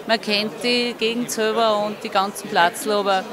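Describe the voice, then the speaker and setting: A woman's voice: medium pitch, fairly even intonation, normal speed. A middle-aged woman with an Upper Austrian accent, answering casually as a visitor at an indoor event. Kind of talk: casual interview. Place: crowded indoor event hall. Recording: crowd chatter behind.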